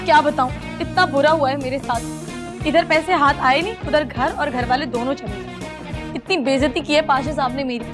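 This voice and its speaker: A woman's voice: high voice, moderate pace, lightly acted. An actress playing a theatrical young woman who chats and complains dramatically to a friend, over background music. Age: young adult. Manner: theatrical, self-pitying, chatty.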